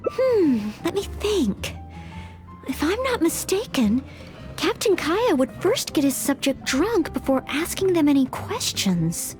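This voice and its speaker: A woman's voice: high-pitched, very animated, takes it slowly, a little mellow, soft background music, heavily acted. A woman playing an earnest, polite young maid knight in a video game, speaking formally and a little hesitantly, eager to help. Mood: musing, earnest. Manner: formal, courteous, hesitant phrasing. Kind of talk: scripted anime-style video game acting.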